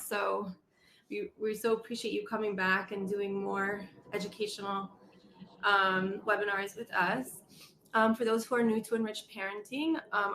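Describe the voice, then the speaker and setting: A woman hosting a nonprofit webinar, chatty and halting with many fillers, congested from a cold, over a video call. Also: medium pitch, fairly bright tone, echoey room, slight background noise, poor audio. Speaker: nonprofit host. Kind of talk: webinar introduction.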